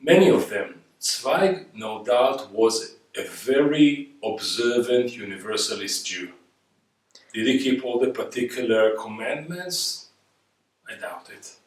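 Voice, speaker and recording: The sound is strongly reverberant and a little distant from the microphone. A man with an Israeli accent speaks at a middling pitch in the manner of a reflective lecturer. He stresses his points, asks rhetorical questions and builds by repetition. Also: lively intonation, slow pace. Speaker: guest speaker. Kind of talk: reflective spoken lecture on history. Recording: echoey room, distant microphone.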